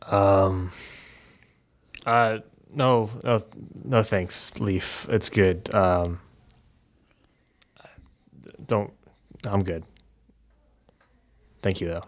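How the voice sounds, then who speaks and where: A man's voice: fairly low pitch, fairly even intonation, very slow, long pauses. A man chatting casually as a player at a tabletop game, hesitant and repeating himself.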